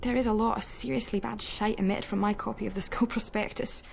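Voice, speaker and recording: A sarcastic student. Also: female voice, medium pitch, steady tone, a little fast, creaky, slight background noise. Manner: sarcastic, blunt, wry. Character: sardonic young woman student, blunt and wry. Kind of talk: video game cutscene dialogue. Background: music.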